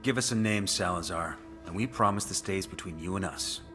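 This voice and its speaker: An actor plays a special operations soldier in a game cutscene. His deep male voice stays calm and dry, with cool menace underneath. Music is clearly heard behind him. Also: brisk, lightly acted. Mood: coolly menacing.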